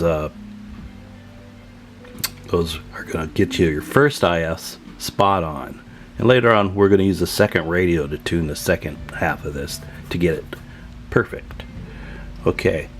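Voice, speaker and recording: A man with a low voice walks through a hands-on repair demonstration. He speaks informally and a little haltingly, working things out aloud as he goes. Faint music sits behind him. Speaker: video host demonstrating CB radio tune-up. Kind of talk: casual hands-on repair tutorial.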